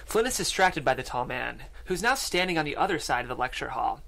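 Male narrator for table read, slightly high voice, scripted screen directions, studio mic. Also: big pitch swings, a little fast, gravelly, bright, forceful tone, poor audio. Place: small podcast studio. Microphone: studio microphone on desk.

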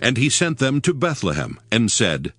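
A man reads scripture as a narrator, formal and solemn. His medium-pitched voice moves expressively over a music bed. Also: sing-song, moderate pace.

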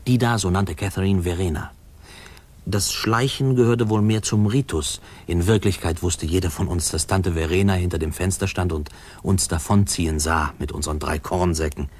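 Deep, husky reminiscing narrator. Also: male voice, moderate pace, darkish tone. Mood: confiding, reminiscent. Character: man fondly remembering his boyhood, confiding. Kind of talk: 1950s German radio play narration.

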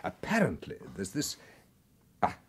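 An actor with a British accent playing an affable, wheedling secret service official in a radio drama, genteel and falsely casual. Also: male voice, fairly low pitch, huge pitch swings, a little slow, slightly rough, heavily acted. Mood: coy, insinuating. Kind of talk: radio drama acting, dialogue.

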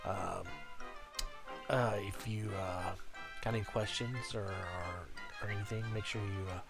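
Stuffy-nosed man with a cold, halting. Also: lowish voice, fairly even intonation, vocal fry, music in the background. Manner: halting, many uhs and restarts. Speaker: game guide creator. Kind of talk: casual screen-recorded tutorial.

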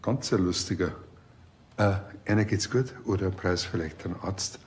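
A man's voice: low voice, moderate pace, a little mellow, natural voice. An actor playing a casual, bossy village policeman with a Bavarian accent. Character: blunt, informal rural Bavarian policeman.

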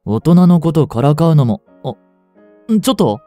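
Man acting as a mellow, soft-spoken brooding teacher. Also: a little high, lively intonation, moderate pace, no room sound, faint music behind, lightly acted. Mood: hurt, taken aback. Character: gentle male chemistry teacher, brooding, turning possessive. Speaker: voice actor in a fan voice drama. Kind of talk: Japanese audio drama monologue, intimate acting.

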